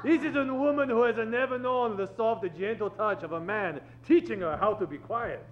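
A man's voice: sits high, normal speed, dull audio, close to an everyday voice. A man playing a courtly, pompous detective general in old-time radio comedy, speaking formal, stilted broken English. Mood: pompous, inquisitive. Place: theatre stage. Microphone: vintage-style stand microphone.

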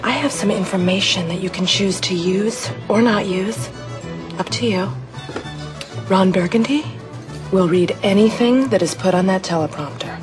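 An actress playing a sly newsroom colleague, confiding and deliberate, in a comedy film. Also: female voice, mid-range voice, over music, lightly acted. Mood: conspiratorial.

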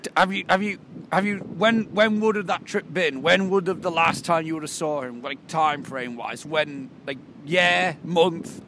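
High-voiced creaky detective played by a man. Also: pushed and bright. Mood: awkward questioning. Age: 20s. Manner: halting, repetitive questioning. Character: flustered male police detective investigating murders. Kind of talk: improvised comedy film acting, mock interview. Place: outdoors by a rocky seafront. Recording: noisy outdoor camera audio.

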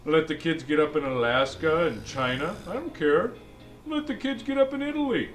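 A man's voice: fairly high pitch, animated, moderate pace, lightly acted. A man voicing a jolly old Santa Claus who reads a picture book to kids in a chatty, exclaiming way. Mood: playful storytelling. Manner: exclaiming, chatty asides between lines. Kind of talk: animated storybook read-aloud. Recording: music behind the voice.

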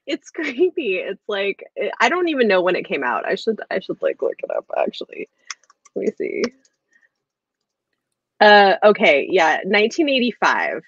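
A woman's voice: medium pitch, big pitch swings, moderate pace, breathy edge, no echo. A woman in her thirties hosting a casual book chat, talking in a hesitant, rambling way peppered with "like". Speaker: book discussion host. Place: small room at home, video call. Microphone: earbuds on a video call. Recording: dull video-call audio.

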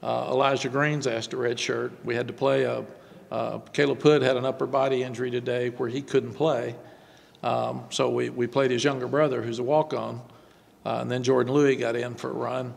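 An older man, a head football coach, answering postgame press questions at a desk microphone, halting and repeating words before quick emphatic runs. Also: mid-range voice, little pitch movement, gravelly, long reverb tail. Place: press room before a sponsor backdrop. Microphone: desk microphone on stand.

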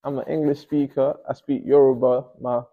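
Laid-back gravelly young man. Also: medium pitch, level tone, moderate pace, phone-quality sound. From Nigeria. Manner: laid-back, mumbles to himself while working. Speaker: painter and host. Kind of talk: casual chat while painting. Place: small home art studio.

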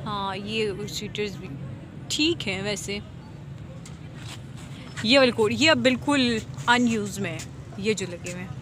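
A woman's voice: medium pitch, a little slow, pauses often, a bit bright. A woman vlog host chatting and bargaining while she films herself shopping at a busy outdoor market, friendly and teasing. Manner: friendly and teasing, asks prices. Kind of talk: casual chat and bargaining while filming. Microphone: handheld phone camera. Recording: phone recording in a noisy market.

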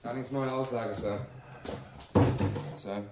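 An actor playing a dutiful, sensible junior police detective in a radio crime drama, deferential and matter-of-fact. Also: male voice, mid-range voice, fairly even intonation, takes it slowly, heavy background noise, close to an everyday voice.

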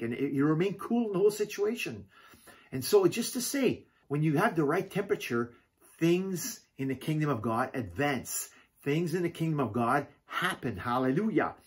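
Middle-aged pastor man preaching at home to camera, bit high and husky, lots of hallelujahs. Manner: animated preaching with frequent hallelujahs, gesturing. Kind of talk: video sermon. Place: home living room, indoors.

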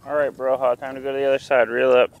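A man's voice: medium pitch, little pitch movement, fast, gravelly, lo-fi sound. A man in his thirties, a fishing vlogger, talking casually outdoors on a small boat. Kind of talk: casual outdoor vlog talk. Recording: outdoor noise from boat on water.

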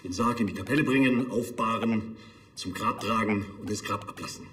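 A male actor plays a sober undertaker in a TV drama. He is clipped and businesslike, with a lowish voice and a clear room echo. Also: brisk, a bit bright, slight background noise, close to an everyday voice. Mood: matter-of-fact. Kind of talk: naturalistic TV drama acting. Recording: echoey room.

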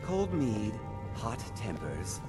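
An actor playing a pompous, complacent emperor at a banquet, with grandiose, oratorical delivery in a fantasy video game. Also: male voice, lowish voice, huge pitch swings, slow, exaggerated voice. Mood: dismissive, assured. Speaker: emperor character. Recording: loud game music behind voice.

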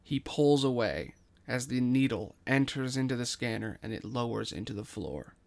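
Creaky-voiced male narrator. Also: medium pitch, animated, moderate pace. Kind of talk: scripted narration of stage directions.

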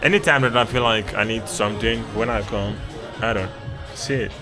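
A man's voice: on the low side, normal speed. A man vlogging as he walks through a shopping mall, rambling casually to himself and to people he passes. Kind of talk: casual walking commentary. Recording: loud music in a busy mall.

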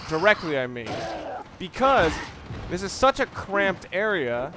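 A man giving unscripted gameplay commentary, explaining things in a halting, analytical way. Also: high voice, very animated, crowd noise. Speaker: let's play commentator. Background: video game sounds and music.

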